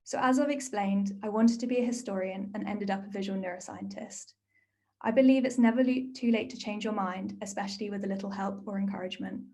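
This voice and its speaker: A woman's voice: mid-range voice, a little fast, darkish tone. A young woman neuroscientist who gives a prepared talk and then answers a question in an earnest, thoughtful way. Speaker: neuroscientist storyteller.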